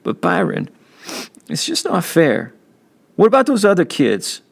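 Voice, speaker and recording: A man's voice: sits high, wildly swooping pitch, a little slow, creaky, breathy edge, pitched above the performer's own voice, exaggerated voice. A man voicing an anxious young boy who protests and pleads with his older brother. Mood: pleading.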